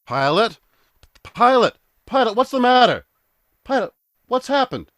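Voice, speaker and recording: A man's voice: extremely high pitch, very animated, unhurried, a bit bright, no echo, exaggerated voice. A man playing a brash, scheming Hollywood studio fixer in a 1940s radio drama, slangy and cynical. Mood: alarmed.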